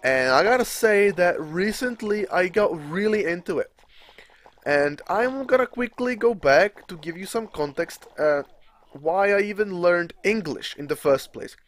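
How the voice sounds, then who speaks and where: A man's voice: sits high, animated, measured pace. A young man from the Czech Republic with a Czech accent, rambling casually as he commentates a game, restarting sentences and swearing now and then. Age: young adult. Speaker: gaming commentator.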